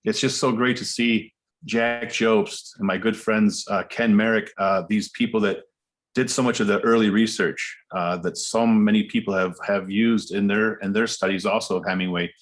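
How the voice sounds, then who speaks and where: A man offers informal remarks as a participant in an online event. His voice is low with little rise and fall, and his manner is appreciative. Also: fairly even intonation, normal speed. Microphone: video call.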